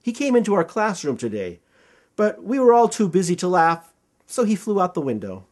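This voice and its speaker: A man voicing a gentle, busy schoolteacher, soft and matter-of-fact, in a children's storybook. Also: high-pitched, very animated, normal speed. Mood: gentle, regretful. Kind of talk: children's storybook read aloud.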